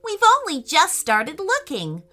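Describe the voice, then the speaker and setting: Children's story little girl woman acting: high, bright, confident, earnest, excitable. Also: a little slow, heavily acted. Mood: confident, reassuring. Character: eager, confident, kindly little girl Maisie.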